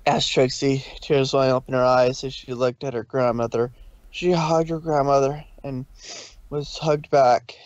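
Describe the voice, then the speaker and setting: A man's voice: mid-range voice, flat delivery, a little slow, no room sound, lo-fi sound. A man narrating a story informally, with a loose delivery in which he sometimes corrects himself. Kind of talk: informal fan fiction reading.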